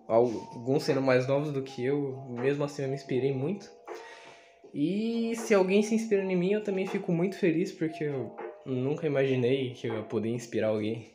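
A young man with a Brazilian Portuguese accent, chatting casually and self-deprecatingly while filming a tour of his bedroom on a handheld phone. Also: medium pitch. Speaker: youtuber. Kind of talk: casual room tour vlog. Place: small bedroom with red LED light. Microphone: phone camera held in hand.

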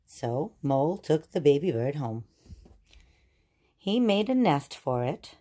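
A woman reading a children's picture book aloud and explaining it in a deliberate, teacherly way. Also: low voice, big pitch swings, dark tone.

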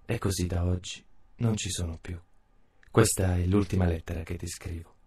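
A man playing a sensitive person's courage as a bitter, resigned, reproachful voice in a radio drama monologue, husky in tone. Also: low voice, fairly even intonation, normal speed, warm tone, exaggerated voice. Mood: bitter farewell.

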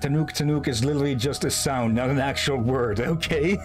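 A man's voice: medium pitch, brisk. A man streaming a game, chatting casually and chuckling as he tells stories. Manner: relaxed, chuckling storyteller. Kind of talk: casual gameplay commentary. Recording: game music behind.

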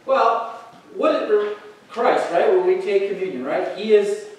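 A man's voice: high-pitched, animated, a little slow, slight background noise. A middle-aged man preaching a sermon in a church hall, emphatic and conversational, often checking in with "right?". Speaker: pastor. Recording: very echoey hall, heard from a distance.

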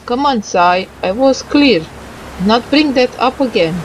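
A woman doing let's play commentary, reading game dialogue aloud haltingly and adding muttered asides. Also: fairly high pitch, expressive, a little hiss or hum, rough recording.

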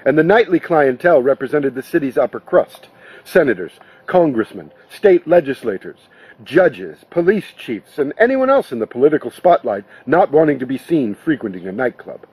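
Husky-voiced man narrating a story. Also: mid-range voice, sing-song, moderate pace, darkish tone, no echo, poor audio. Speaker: actor reading an audiobook.

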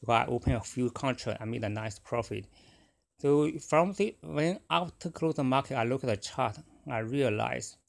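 A middle-aged man whose first language is Chinese, talking casually and haltingly about his trading, with many fillers and restarts. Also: medium pitch, expressive, vocal fry. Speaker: forex trader. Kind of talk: casual screen-recorded trading talk.

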